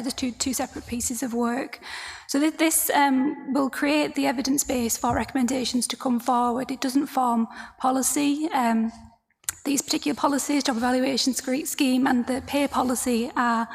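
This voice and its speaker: A high-pitched, croaky woman presents a report to a council meeting, pausing often and restarting her sentences. Her voice is gravelly and husky, and it rings with the long reverb of a large hall. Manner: hesitant, many fillers and restarts, explanatory.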